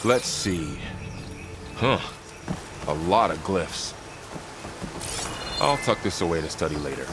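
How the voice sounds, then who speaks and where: Low-voiced, easygoing young warrior companion. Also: male voice, lively intonation, slow pace, long pauses, noisy background. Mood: curious. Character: loyal young male warrior companion, easygoing, curious, alert. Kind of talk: naturalistic video game acting. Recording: loud game music over the voice.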